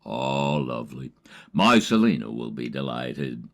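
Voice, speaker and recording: A man playing a laconic, easygoing, dry-humored backwoods survivor in an audio drama, speaking tersely and deadpan. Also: a bass voice, a little slow, heavily acted. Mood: calm, fond. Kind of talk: amateur audio drama acting.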